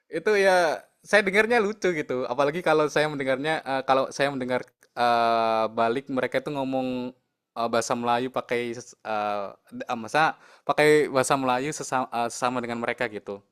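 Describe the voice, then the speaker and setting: A man's voice: mid-range voice, normal speed, a bit bright, clear, resonant tone. A man with a Javanese-accented Indonesian, speaking casually and hesitantly with many filler sounds as a speaker in an online sharing session. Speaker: exchange program speaker.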